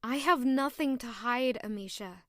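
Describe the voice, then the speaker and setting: An actress playing a kind young maid in an audio drama, talking in an earnest, caring way. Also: female voice, a little high, moderate pace, dry recording, natural voice. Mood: gentle. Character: young woman maid, kind and warm-hearted.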